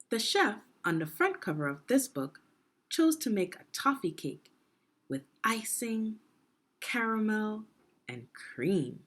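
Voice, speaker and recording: A woman reading a children's story aloud in a deliberate, expressive teaching manner, putting questions to the listener. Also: fairly low pitch, breathy edge. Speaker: education consultant reading a children's book aloud.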